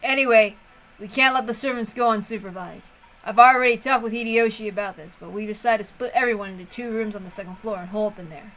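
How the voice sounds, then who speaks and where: A woman's voice: medium pitch, fast, fairly bright tone, natural voice, rough recording. A woman reading the part of a blunt, suspicious middle-aged younger brother in a visual novel. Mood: firm, urgent.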